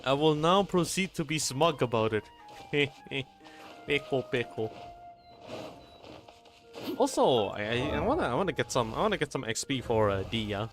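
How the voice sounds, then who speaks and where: A man doing casual live gameplay commentary as a streamer, halting and often repeating how his phrases start. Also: mid-range voice, big pitch swings. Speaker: game streamer. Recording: faint game music behind.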